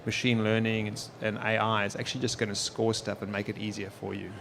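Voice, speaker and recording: A man's voice: fairly low pitch, level tone, fast talker, creaky, noisy background. A man in his forties with a South African accent, a guest explaining things in easygoing conversation at a live podcast on an expo stage. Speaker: guest, technology executive. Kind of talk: conversational interview answers.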